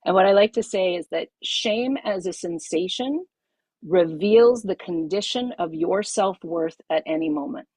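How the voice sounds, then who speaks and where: A middle-aged woman, a yoga teacher, leading a group masterclass over a video call, emphatic and expressive, repeating her key phrases. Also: on the low side, unhurried, breathy edge, no echo.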